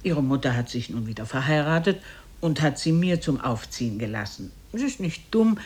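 An actress playing a kindly, chatty, scolding old aunt with a husky voice and courteous, expressive radio drama delivery. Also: female voice, sits low. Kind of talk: 1950s German radio drama acting.

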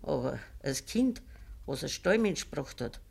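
A woman's voice: sits low, big pitch swings, a little slow, creaky, a little mellow, breathy edge, heavily acted. An actress playing an elderly, blunt and morally firm peasant grandmother in a Bavarian dialect radio drama from the 1950s. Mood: grave storytelling.

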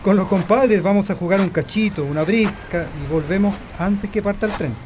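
A man's voice: high voice, moderate pace, darkish tone, close to an everyday voice. An actor playing an easygoing family father in a radio drama, casual and offhand, with a Chilean accent. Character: easygoing father slipping off to play cards. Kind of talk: Spanish-language radio drama acting. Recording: light outdoor ambience noise.

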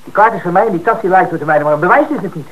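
High-voiced, defiant young suspect. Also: male voice, lively intonation, rapid-fire, dull audio. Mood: defensive. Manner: defiant, stubborn, protesting. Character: defiant, indignant young male robbery suspect of twenty-four. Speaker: actor in a radio crime play. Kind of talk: Dutch radio play dialogue. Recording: noisy, band-limited old radio recording.

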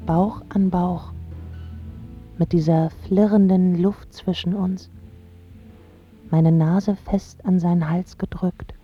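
A woman's voice: on the low side, steady tone, takes it slowly, pauses often. An actress playing a smitten young woman from a pampered home, narrating intimately and lyrically in a radio drama. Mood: tender. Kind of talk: scripted first-person narration in a German radio drama. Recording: slightly dull sound with music underneath. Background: prominent music.

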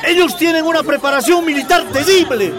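A man's voice: very high voice, brisk, crowd noise. An actor playing an angry, rebellious Bolivian miner rousing his comrades in a radio drama, with an agitated delivery. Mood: challenging. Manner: agitated, shouting, rabble-rousing.

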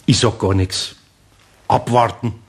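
A gruff but kind old master carpenter with a Bavarian accent. He grumbles and muses slowly with a husky, slightly breathy voice. Gentle teasing sits under the gruffness. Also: on the low side, fairly even intonation. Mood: musing. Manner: grumbling, slow, good-natured. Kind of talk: radio play acting.

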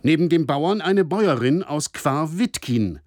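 A formal radio reporter in a radio play. His pitch moves widely and expressively through measured, descriptive narration, recorded very dry and close. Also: expressive, a little mellow, no room sound. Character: male radio reporter covering a war-crimes trial.

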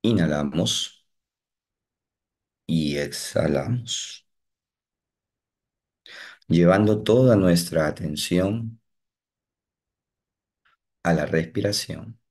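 A man guiding a meditation, giving slow, calm instructions with long pauses. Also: deep voice, slightly rough. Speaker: meditation guide. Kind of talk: guided meditation.

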